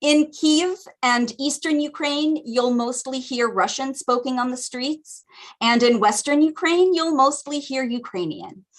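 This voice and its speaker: A woman's voice: high-pitched, unhurried. A woman with an American accent giving an academic lecture as a guest speaker at a community teach-in, explaining points thoughtfully with frequent ums, over a video call.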